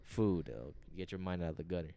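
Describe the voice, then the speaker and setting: A man's voice: sits low, fairly quick, warm tone, no echo. A young man co-hosting a podcast, easygoing and chatty, telling stories and laughing along in casual banter. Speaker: podcast co-host.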